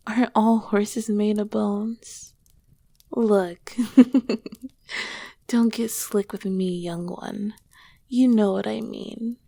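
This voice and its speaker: A woman playing an ancient, tender, teasing succubus queen in an intimate ASMR roleplay, soft-spoken and often dropping to a whisper. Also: mid-range voice, vocal fry, no room sound, full character voice. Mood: playful, teasing. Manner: slow, gentle, affectionate. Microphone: close microphone, dry.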